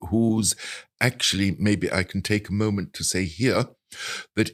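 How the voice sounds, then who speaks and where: Deep-voiced older English man, articulate. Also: steady tone. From England. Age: older, around 70. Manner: articulate, measured storytelling with precise wording. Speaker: interview guest, translator and writer. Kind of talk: reflective anecdotal interview talk.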